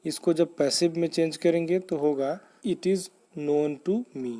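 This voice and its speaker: A man teaching English grammar in a deliberate, step-by-step, instructive manner, as in a scripted lesson. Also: a little high, dark tone.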